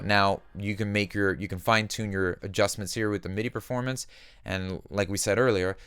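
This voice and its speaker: A man giving a conversational software tutorial as a music production instructor, explaining things simply on a close microphone. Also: lowish voice.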